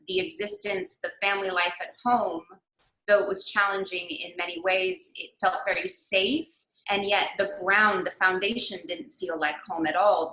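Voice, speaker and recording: A woman raised in the United States guides an online master class, her voice bright and forward. She speaks reflectively, searching for words with frequent pauses and fillers. The video-call audio carries a noticeable echo from the room around her. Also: medium pitch. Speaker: workshop leader. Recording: echoey room.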